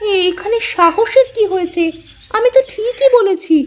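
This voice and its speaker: A woman's voice: extremely high pitch, normal speed. A woman voicing a dutiful young village daughter-in-law who stands her ground, in a Bengali cartoon story. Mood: defensive.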